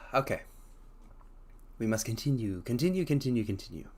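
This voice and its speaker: A man streaming a dramatic reading, pausing for theatrical asides to his listeners. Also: medium pitch, animated, a little mellow, a little hiss or hum. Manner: theatrical, addresses listeners as mortals.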